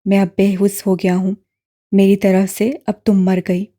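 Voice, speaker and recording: A woman voicing a bitter young man who shouts accusations at his sister, in a lowered voice in an audiobook. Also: monotone, natural voice. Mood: bitter, shouting. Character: furious young man, bitter estranged brother. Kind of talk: audiobook character voice.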